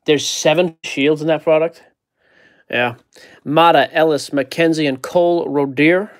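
A man's voice: fairly high pitch, fairly even intonation, unhurried. Joking man ripping card packs. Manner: joking, rattles off player names. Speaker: card break host. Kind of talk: casual card-opening chat. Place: indoor card-breaking table.

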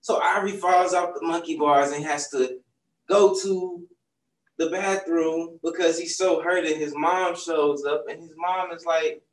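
A man's voice: a little high, measured pace. A young man, a children's book author, talking casually through a face mask while seated in a bookstore. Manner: relaxed, conversational, speaks through a face mask. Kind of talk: casual storytime talk to kids. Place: bookstore, seated on a stool among shelves. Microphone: far camera. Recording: roomy distant sound on a video call.

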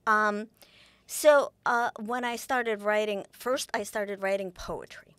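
A woman's voice: mid-range voice, slow pace, smooth, dry recording. Woman author, dry studio. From Ukraine. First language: Russian. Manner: animated, gesturing, many restarts. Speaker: author guest. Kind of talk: interview conversation. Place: small podcast studio. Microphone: boom mic on desk.

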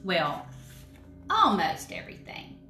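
A woman hosts a devotional story time for children in simple, question-filled phrases. Her delivery is unhurried and very animated in pitch. The room adds noticeable echo around her voice. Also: soft background music. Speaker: children's story time host, Sunday school teacher. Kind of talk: children's devotional talk addressed to young listeners. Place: indoors in a home room with brick wall and wood cabinets. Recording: roomy echo, faint background noise.

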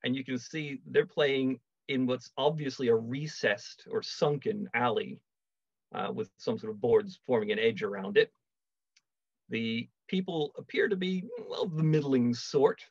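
A middle-aged man giving a measured online lecture on a video call, with wry asides. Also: mid-range voice, no room sound. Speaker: lecturer, archaeology project manager. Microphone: video call webcam. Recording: dull, dry video call audio.

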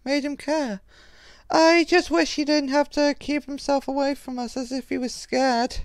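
A caring young animatronic clown girl, played by a woman, high in pitch. She talks slowly and earnestly, with a gentle, hesitant delivery suited to an emotional animated drama. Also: background noise. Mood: thoughtful, earnest. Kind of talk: emotional comic dub acting.

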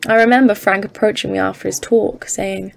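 Audio drama: actress as earnest female geologist, expedition leader, formal and measured, some vocal fry. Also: medium pitch, steady tone. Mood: grave, reflective. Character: Evelyn, earnest woman geologist leading polar expedition. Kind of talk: audio drama narration and dialogue.

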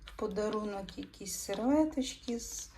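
A woman's voice: mid-range voice, expressive, moderate pace, dark tone, a little hiss or hum. Chatty woman vlogging a trip. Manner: chatty, personal, addressing viewers as friends. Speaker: travel vlogger. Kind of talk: casual travel vlog.

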